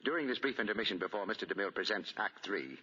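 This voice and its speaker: A male 1940s radio announcer with a low, lively voice. His pitch is polished and emphatic, on a dull old broadcast recording. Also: very fast, husky. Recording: muffled 1940 radio broadcast.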